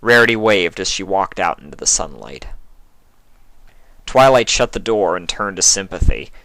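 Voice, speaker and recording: A man's voice: sits low, lively intonation, moderate pace, a bit bright, dry recording, poor audio. A man reading scripted fan fiction as a narrator.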